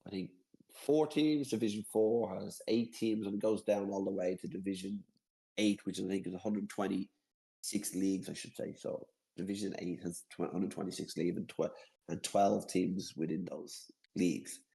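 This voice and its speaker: A man's voice: low voice, fairly even intonation, vocal fry, warm tone, poor audio. An Irish man in a casual chat, explaining a game in a rambling way and repeating himself often. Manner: rambling, repeats himself, quick to laugh at his slips. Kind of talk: casual video-call chat.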